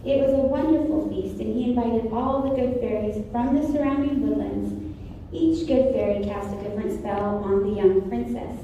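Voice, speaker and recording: Very mellow female narrator in an echoey room. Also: a little high, normal speed, lo-fi sound. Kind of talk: scripted storybook narration for reader's theater. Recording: very echoey distant room, faint noise.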